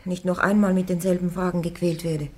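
A woman's voice: fairly low pitch, fairly quick, lightly acted. A woman playing a defiant young woman warrior on trial. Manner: firm, calm, defiant answers. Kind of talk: radio drama acting.

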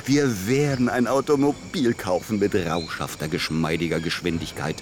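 An actor playing an overconfident, euphoric bridegroom and bon vivant, speaking in grandiose rhapsodies in a radio play. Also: male voice, low voice, moderate pace, noisy background, natural voice. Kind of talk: German radio play acting.

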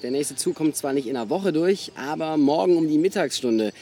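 A young actor playing a grumbling, sarcastic backpacker in a naturalistic radio drama, talking in slang. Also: male voice, fairly high pitch, animated, fairly quick, noisy background. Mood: wry, incredulous. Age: young adult.

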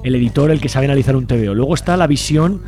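A man's voice: medium pitch, quick pace, warm tone, faint music behind. A man with an accent from Spain, hosting a casual radio interview. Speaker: radio host.

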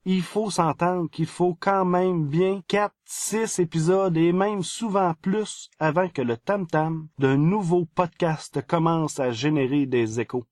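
A man's voice: a little high, takes it slowly, few pauses, darkish tone, no room sound, studio quality. A man with a Quebec French accent presenting a scripted news segment on a podcast.

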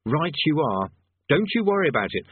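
A man voicing a nervous, cowardly male wizard who talks his way out of trouble, hurried and sarcastic. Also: on the high side, big pitch swings, breathy edge, pitched above the performer's own voice. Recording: tinny phone-like dry sound.

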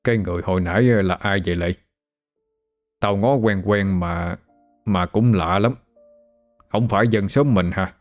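A man's voice: sits low, measured pace, long pauses, soft background music. A man voicing an elderly village ritual master, stern but kindly, in a Southern Vietnamese accent, in an earnest, folksy, admonishing way for an audio story. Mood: curious.

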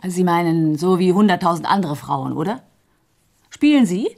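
An actress playing a wry, teasing office employee in a radio play. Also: female voice, fairly low pitch, expressive, normal speed, creaky.

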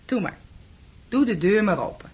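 An actress playing a placating but firm landlady in a radio play, coaxing and patronising, with a breathy voice. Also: medium pitch, lively intonation, moderate pace, background noise, close to an everyday voice. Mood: soothing, firm. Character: placating, firm landlady humouring an unwell male lodger. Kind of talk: Dutch radio play acting.

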